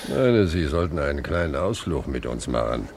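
An actor playing a sly, menacing gangster in a 1950s German radio play, mock-polite and taunting. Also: male voice, very deep voice, big pitch swings, moderate pace, darkish tone, heavily acted. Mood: mock-polite menace. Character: sly, menacing male gangster in a slouch hat. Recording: very noisy, crackly 1950s radio recording.

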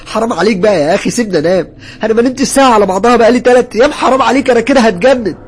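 A sleepless Egyptian male doctor at breaking point, acted by a male storyteller in a horror tale. He shouts in agitation, his voice driven high and fast, full of desperate temper. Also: husky. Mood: desperate, furious.

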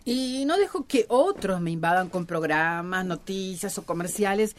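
A woman's voice: lowish voice, lively intonation, moderate pace, a little hiss or hum, natural voice. An actress playing a friendly, understanding, easily flustered woman in a radio soap. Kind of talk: radio soap opera acting.

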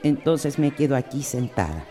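An actress playing a fussing, caring, grumbling companion in a radio soap opera, chiding and exclamatory with a Costa Rican accent. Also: female voice, rumbling low, big pitch swings, moderate pace, mellow, faint music behind, some chatter behind.

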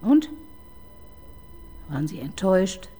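A woman's voice: mid-range voice, sing-song, slow, dark tone, breathy edge, heavily acted. An actress playing a skeptical female police inspector in a radio crime drama, probing her colleague with dry irony. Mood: interrogating. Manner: dry, probing, ironic questioning. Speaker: detective chief inspector.

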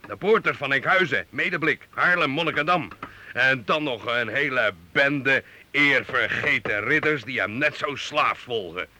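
An actor playing an arrogant, scornful medieval knight in a theatrical radio drama for youth, pompous, mocking and domineering. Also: male voice, mid-range voice, unhurried, pushed and bright, no room sound. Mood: scornful, commanding. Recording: old radio recording with faint noise.

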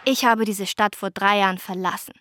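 A woman's voice: mid-range voice, steady tone, fairly quick, a bit bright, no room sound, studio quality, natural voice. An actress playing a wry young woman in a radio drama, confiding chattily and mimicking her own thoughts. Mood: confessional. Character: wry young woman longing for the city she left.

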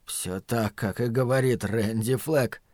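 A man's voice: lively intonation, unhurried, no echo, studio quality. A man voicing a cowed, bitter henchman in an audiobook, muttering submissively and half under his breath. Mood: sullen, muttering. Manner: low muttering, half-whispered.

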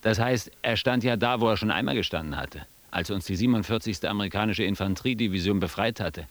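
An actor playing a wry, ironic first-person narrator looking back in a literary radio drama. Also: male voice, lowish voice, quick pace, no echo, natural voice. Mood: ironic, reminiscing. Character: male first-person narrator, wry reminiscing friend of Pasch.

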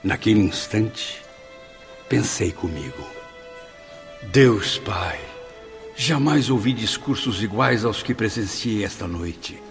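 A man's voice: on the low side, animated, slow pace, pauses often. An actor playing a humble, awestruck spirit who narrates his memoirs in a radio drama, earnest and reflective. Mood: reverent. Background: music.

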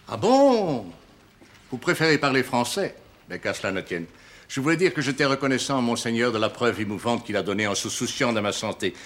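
A pompous older parish priest in a TV drama. His intonation swings widely as he delivers ornate, deliberate sentences with great self-satisfaction. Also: mid-range voice, sing-song, a bit bright. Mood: haughty, needling. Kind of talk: television drama acting.

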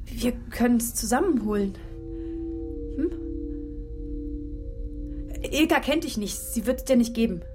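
An actress playing a young music student, an earnest, loyal and defiant daughter, in a naturalistic radio crime drama. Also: female voice, fairly high pitch, expressive, a little slow, long pauses, mellow. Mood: uneasy, persuading. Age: young adult.

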